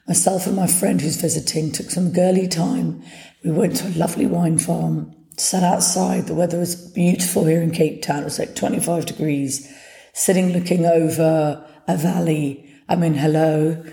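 Hoarse woman podcaster, low gravelly voice. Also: warm tone. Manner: chatty, upbeat, motivational. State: hoarse, partly lost voice. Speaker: podcast host. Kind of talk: casual solo podcast talk. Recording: echoey room.

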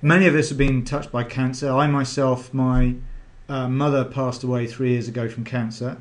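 A man's voice: mid-range voice, level tone, moderate pace. A middle-aged man hosting a podcast, chatty and rambling, with a lot of ums. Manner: chatty, rambling with many fillers. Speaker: podcast host. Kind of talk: podcast interview.

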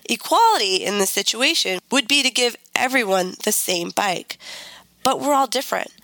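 A woman's voice: a little high, lively intonation, bright, forceful tone, no room sound, low-quality recording. Congested middle-aged woman hosting a podcast. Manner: earnest, measured delivery. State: congested from a cold. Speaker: podcast host. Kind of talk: solo podcast, reading a speech aloud.

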